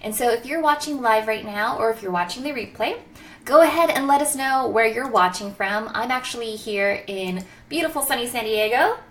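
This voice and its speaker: A woman's voice: on the high side, expressive, normal speed, fairly bright tone. A woman hosting a live interview stream, enthusiastic and upbeat, laughing often. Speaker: host of a live show.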